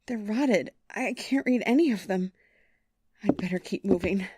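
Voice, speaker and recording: A woman's voice: mid-range voice, moderate pace, background noise, close to an everyday voice. A woman playing a self-important but jittery young documentary maker in a horror audio drama, chattily narrating her surroundings. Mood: uneasy muttering.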